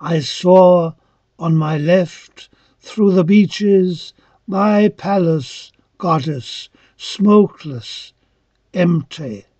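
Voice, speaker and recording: A man reciting dramatic poetry as an awed, devout young reveller of ancient Greece, solemn and declamatory in halting phrases. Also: sits high, dark tone, no echo, exaggerated voice. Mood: awed, breathless recounting. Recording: dull lo-fi, dry close recording.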